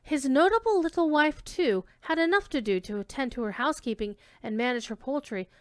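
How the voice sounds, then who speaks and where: A woman giving an expressive dramatic reading of classic literary narration, with emphasis on key words. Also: high voice, brisk, no room sound, studio quality.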